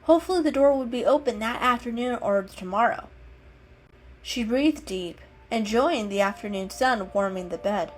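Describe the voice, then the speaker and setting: A woman storyteller giving an expressive, unhurried dramatic reading of an animal story. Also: on the high side, slight background noise, poor audio.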